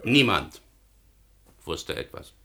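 A man's voice: low voice, very animated, slow, sharp tone, slightly rough, exaggerated voice. A man acting in a German radio play as a stern, bitter government official, speaking in an emphatic, sardonic, rhetorical manner.

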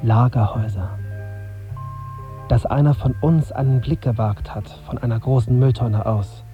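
An actor playing a brooding young journalist, narrating his tense inner thoughts in a measured, husky voice for a radio drama. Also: mid-range voice, steady tone, highs cut off, soft background music, natural voice. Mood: anxious, introspective. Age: young adult. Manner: introspective, measured, bitter self-questioning.

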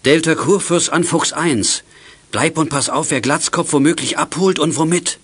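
A man playing a calm, commanding leader of young detectives in a German children's radio play. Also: fairly high pitch, moderate pace, slight background noise, close to an everyday voice. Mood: resolute. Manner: directing, matter-of-fact.

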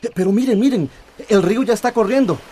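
High-voiced, earnest activist. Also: male voice, fast talker, mellow, breathy edge. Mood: excited, reassuring. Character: earnest, excited male community activist. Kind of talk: radio drama acting.

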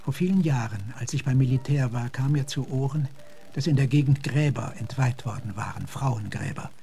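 An actor playing a middle-aged, philosophical gentleman and former officer in a German radio drama, speaking formally, deliberately and thoughtfully. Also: mid-range voice, creaky, soft background music, natural voice. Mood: grave storytelling.

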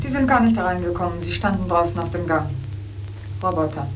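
An actress playing an uneasy but intrigued receptionist escort in a German radio drama, talking in short, confiding phrases. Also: female voice, on the low side, expressive, brisk, lightly acted. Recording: clear background noise.

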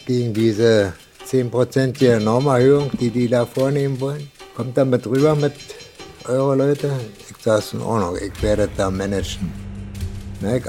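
A man's voice: mid-range voice, dark tone. An older man with a Berlin accent telling an eyewitness recollection in a colloquial, anecdotal way. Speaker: eyewitness, former construction worker. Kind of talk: spoken recollection.

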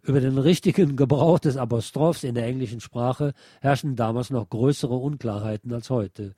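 A man reading aloud from a nonfiction book as a radio presenter, in a measured, articulate way. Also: medium pitch, dark tone, dry recording, clean studio sound. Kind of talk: scripted narration, read aloud from a nonfiction book.

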